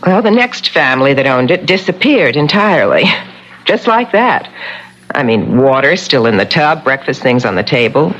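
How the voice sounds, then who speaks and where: Guarded village realtor, muffled old radio. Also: female voice, rumbling low, sing-song, moderate pace, exaggerated voice. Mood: grave, ominous. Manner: grave, ominous, guarded. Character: wary village real estate agent, a woman. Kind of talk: 1970s radio drama acting. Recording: dull, noisy old radio broadcast.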